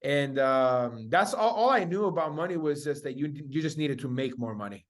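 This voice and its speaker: A man's voice: a little high, animated, moderate pace. A young man from Spain chatting casually as an interview guest, telling personal stories in slangy, sometimes profane language. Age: young adult. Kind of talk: casual chat, personal storytelling.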